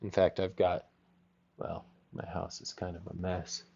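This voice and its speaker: A man teaching English in a casual conversational lesson from home, encouraging and laughing often, explaining words slowly. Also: low-pitched, completely flat delivery, creaky, darkish tone, breathy edge. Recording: slightly dull lo-fi call audio.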